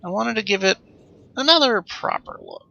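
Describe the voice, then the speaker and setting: A man hosting a let's play with casual, improvised commentary, rambling and thinking out loud as he goes. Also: high-pitched, animated, normal speed, creaky, dull audio.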